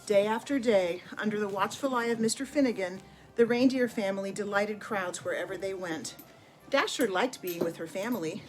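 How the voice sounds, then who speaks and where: A woman narrating a children's picture book in an expressive, deliberate storytelling manner with dramatic pauses. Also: mid-range voice, rough recording. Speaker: storybook reader.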